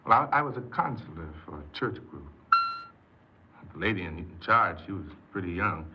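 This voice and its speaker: Deep-voiced, halting ex-convict, old noisy radio. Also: male voice, very animated. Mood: subdued, reflective. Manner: hesitant, halting, repeats words. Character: earnest, insecure paroled ex-convict confessing his story. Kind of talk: 1950s radio drama acting. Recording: noisy muffled 1950s radio recording.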